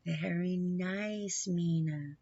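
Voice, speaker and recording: A woman voicing a patient, encouraging aunt who teaches children yoga, with gentle, measured instruction, in a storybook read-aloud. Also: fairly low pitch, no room sound, lo-fi sound, exaggerated voice. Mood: approving.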